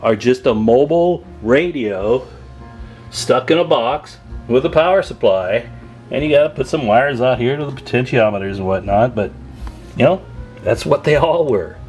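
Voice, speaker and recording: A man with a medium-pitched voice hosts a casual hands-on demonstration. He talks in an informal, folksy, slangy way, as if chatting with fellow hobbyists. Faint music plays behind him. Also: expressive, moderate pace, darkish tone. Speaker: host of a CB radio repair video.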